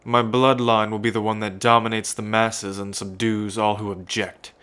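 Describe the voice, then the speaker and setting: A man's voice: fairly low pitch, flat delivery, normal speed, bright, forceful tone, smooth. A man playing an arrogant, sadistic would-be emperor in an ASMR roleplay, with a pompous, gloating manner. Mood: menacing, smug. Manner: pompous, gloating, with frequent smug chuckles. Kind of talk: ASMR roleplay villain monologue.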